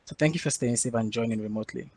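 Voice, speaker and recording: A man giving a prepared talk at an online developer conference, speaking in a steady, formal way. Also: mid-range voice, very fast.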